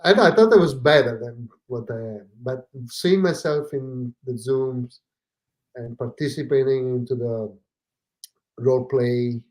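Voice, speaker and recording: A man from Italy with an Italian accent, a podcast guest talking casually and haltingly, with many fillers and restarts. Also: medium pitch, a little mellow, low-quality recording. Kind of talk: casual conversation.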